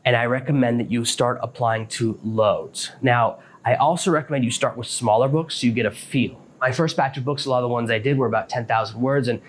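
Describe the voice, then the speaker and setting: A man's voice: medium pitch, fairly even intonation, fairly quick, hardly any pauses, a little hiss or hum. A man hosting a podcast, explaining things step by step in a casual, matter-of-fact advice monologue. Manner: practical, matter-of-fact, self-employed author explaining step by step. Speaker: podcast host.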